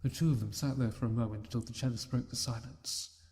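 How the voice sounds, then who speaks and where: A man reading a fan fiction story aloud in a steady, plain narration. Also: medium pitch, fast. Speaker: reader.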